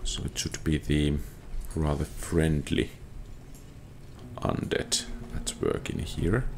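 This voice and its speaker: A man doing casual video game commentary, halting and musing as if thinking aloud. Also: extremely low pitch, fairly even intonation, a little mellow, slight background noise. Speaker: gamer narrating own playthrough.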